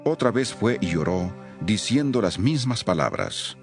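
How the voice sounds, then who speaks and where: A man's voice: on the low side, sing-song, unhurried, faint music behind. A man narrating scripture from a script as a solemn storyteller.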